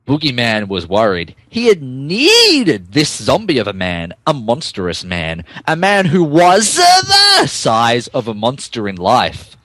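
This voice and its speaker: Animated man reading a story mock-dramatically. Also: medium pitch, measured pace, hardly any pauses, no echo. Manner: mock-dramatic, often stumbles over words. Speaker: podcast co-host and narrator. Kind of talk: comic dramatic reading and casual banter.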